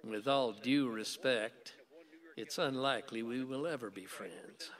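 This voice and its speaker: A man's voice: mid-range voice, measured pace, fairly bright tone, natural voice. An actor playing a stubborn, sardonic older conservative man in a radio play, speaking formally and drily. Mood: dry, wary. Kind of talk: theatrical radio play dialogue. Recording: long reverberant hall sound.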